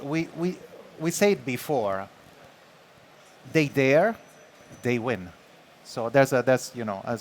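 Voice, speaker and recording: A man speaking on an industry panel, halting with many fillers and restarts. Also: mid-range voice, slight background noise. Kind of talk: panel discussion.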